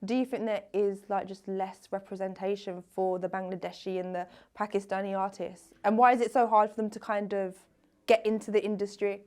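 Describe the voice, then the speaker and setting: A woman's voice: medium pitch, little pitch movement, a little fast, darkish tone. A woman interviewing a guest in casual chat, mostly giving short agreeing replies and asking follow-up questions. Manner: encouraging, brief agreeing interjections.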